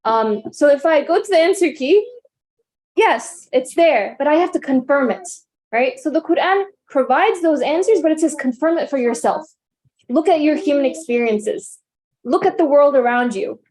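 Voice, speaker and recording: High-voiced woman thinking aloud. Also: expressive, moderate pace, a little mellow. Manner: reflective, checks in with listeners. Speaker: study circle participant. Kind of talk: group discussion.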